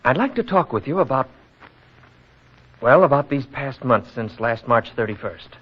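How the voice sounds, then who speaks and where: Earnest, expressive troubled young man. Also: medium pitch, moderate pace, husky. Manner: earnest, formal, questioning. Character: troubled, searching young townsman. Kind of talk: 1940s radio drama acting. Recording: muffled, noisy vintage radio recording.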